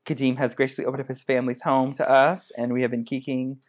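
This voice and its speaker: A man's voice: mid-range voice, level tone, quick pace. A man hosting a casual podcast, teasing his guests and pushing the chat along. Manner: bossy, teasing, keeps things moving. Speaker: podcast host.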